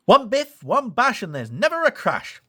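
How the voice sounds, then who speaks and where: A man's voice: extremely high pitch, wildly swooping pitch, moderate pace, bright, forceful tone, pitched above the performer's own voice, full character voice. A man voicing an eager, brave young steam engine in a children's storybook, with an earnest, exclamatory manner. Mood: cheerful.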